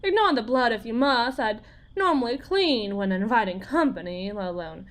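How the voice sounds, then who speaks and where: A woman playing a desperate, wounded, wry farm wife in an ASMR drama roleplay, with a folksy, sardonic, halting delivery. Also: fairly high pitch, animated, lightly acted. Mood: weak, wry.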